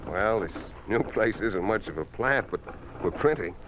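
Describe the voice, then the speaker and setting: An actor playing a principled, outspoken newspaper editor in a 1950s radio drama, with an emphatic, oratorical American delivery. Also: male voice, on the low side, lively intonation, normal speed, loud music behind the voice, close to an everyday voice. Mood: quiet resolve. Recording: dull lo-fi 1950s radio recording.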